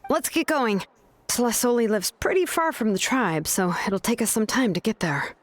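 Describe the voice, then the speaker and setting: A woman's voice: on the high side, big pitch swings, moderate pace, no room sound. A woman playing a laid-back, considerate young craftswoman in a video game, talking in a casual, explanatory way. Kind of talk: video game character acting. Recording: game music behind the voice.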